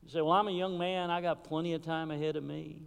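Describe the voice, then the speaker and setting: A man preaching a sermon in a church, swinging from fervent calls to hushed, low warnings. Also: flat delivery, quick pace, gravelly, clear, resonant tone. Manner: impassioned preaching with sudden drops to a hush. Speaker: pastor. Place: church sanctuary. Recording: hall reverb.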